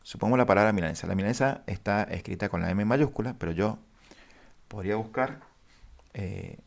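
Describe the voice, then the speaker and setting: A low, gravelly man walks through a database lesson at his computer. He talks haltingly, with frequent fillers, and addresses his viewers formally. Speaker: database instructor. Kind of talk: screen-share coding lesson.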